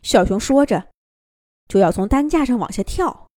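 A woman storyteller reading a children's fairy tale in an expressive, lilting manner. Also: a little high, moderate pace, no echo, clean studio sound.

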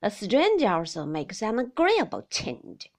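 A woman's voice: mid-range voice, wildly swooping pitch, a little slow. A woman voicing a stubborn, loyal middle-aged female servant who talks stiffly and bluntly.

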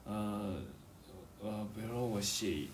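A man chatting reflectively in a hesitant, halting way, often repeating himself as he searches for words. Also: on the low side. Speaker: podcast guest, painter. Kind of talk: reflective casual chat. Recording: distant mic, echoey room, faint background noise.

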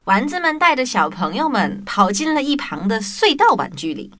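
A woman narrating a scripted children's story in an expressive way, as the presenter of an audio drama. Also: a little high, moderate pace, faint music behind. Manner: expressive, addressing young children.

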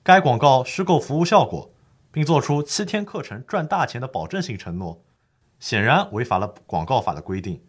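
A man's voice: medium pitch, sing-song, normal speed, slightly rough. A man playing an earnest lawyer who formally explains the law in a scripted educational radio drama. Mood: firm, explanatory. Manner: formal, didactic. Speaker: legal expert host.

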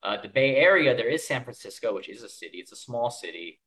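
A man's voice: medium pitch, very animated, fast, sharp tone. A man from Connecticut with an American accent, casually telling his own story on a podcast. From Connecticut, United States. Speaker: podcast co-host.

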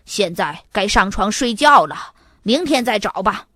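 A woman's voice: mid-range voice, expressive, unhurried, sharp tone, no room sound. A woman voicing a stern, commanding father in a children's story. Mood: firm. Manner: firm, commanding. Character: stern father ordering his children to bed.

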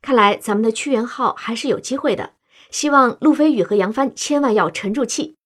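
A woman's voice: on the high side, fairly quick, natural voice. A woman playing an earnest TV news anchor of about thirty in a radio drama, with a formal announcer's delivery. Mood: inquiring. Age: 30s.

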